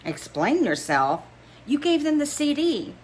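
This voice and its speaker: A woman reading a novel aloud, voicing an excitable, supportive best friend with a teasing, exclamatory manner. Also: high-pitched, a little slow, a little hiss or hum, voice pushed up, poor audio. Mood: incredulous, urging.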